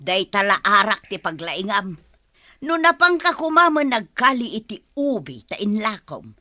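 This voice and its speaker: A woman's voice: medium pitch, big pitch swings, normal speed, pushed and bright. A woman playing a chatty, scolding villager in a radio comedy drama. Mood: gossipy. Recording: music under the voice.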